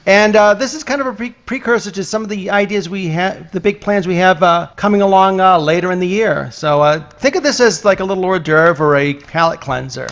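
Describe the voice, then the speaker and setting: A man's voice: high-pitched, brisk, few pauses, a bit bright, highs cut off. A man hosting a podcast live, introducing the show. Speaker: podcast host. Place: live venue at a hotel.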